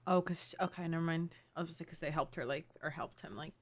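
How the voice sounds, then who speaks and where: A woman's voice: deep voice, fairly even intonation, fast talker, heavy background noise. A young adult woman giving casual, slangy, joking commentary while livestreaming a game.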